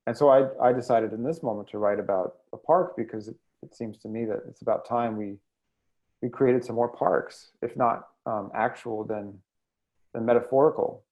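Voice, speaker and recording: An American man speaking as a guest in an interview, reflective and hesitant, often pausing mid-sentence as he thinks. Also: mid-range voice, muffled recording. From United States. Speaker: guest poet and editor. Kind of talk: thoughtful interview conversation.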